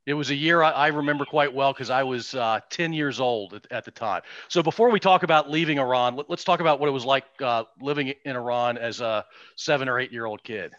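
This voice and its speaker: A man's voice: medium pitch, brisk, fairly bright tone, no echo, highs cut off. A man in his fifties hosting a podcast, chatting casually with a guest and hesitating now and then. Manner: friendly, hesitant with fillers. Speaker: podcast host. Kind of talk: casual interview chat.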